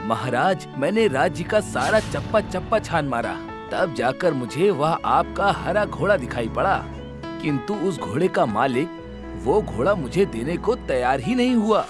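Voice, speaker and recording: A man voicing a clever, witty royal courtier in an animated story, playful and persuasive. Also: fairly high pitch, measured pace. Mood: explaining. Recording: background music under voice.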